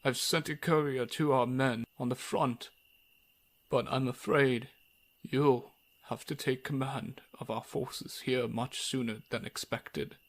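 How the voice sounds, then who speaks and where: A man playing a grave, slow-spoken king. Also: mid-range voice, fairly even intonation. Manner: grave, commanding. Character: grave, commanding older king. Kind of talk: ASMR roleplay acting.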